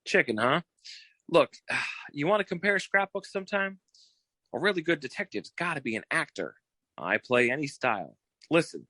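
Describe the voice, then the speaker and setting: An actor playing a cocky, boastful, theatrical private detective in a 1940s style radio comedy, pitching himself in a showy, wheedling way. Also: male voice, sing-song, normal speed, pushed and bright, no echo, exaggerated voice. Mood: eager showing off. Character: cocky, theatrical fast-talking male private detective eager for partnership.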